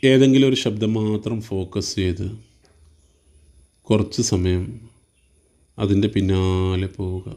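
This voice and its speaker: Meditation guide: Malayali man, lowish pitch, very soft dark tone, slow soothing instructions, spaced phrases. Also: steady tone.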